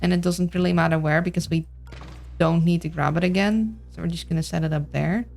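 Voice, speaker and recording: A woman's voice: lowish voice, fairly even intonation, fairly quick, gravelly, a little mellow. A woman with a Dutch accent giving casual gameplay commentary, rambling and thinking aloud. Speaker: game streamer. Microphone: streaming mic with voice filters. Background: game music.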